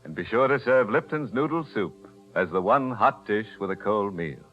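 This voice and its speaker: An actor plays a ghoulishly sardonic American horror host on 1940s radio. His voice is deep and wry, on a muffled vintage recording with music behind. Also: moderate pace, close to an everyday voice. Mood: sly, macabre. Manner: sardonic, deadpan ghoulish humor. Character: sardonic, macabre, chuckling mystery-show host.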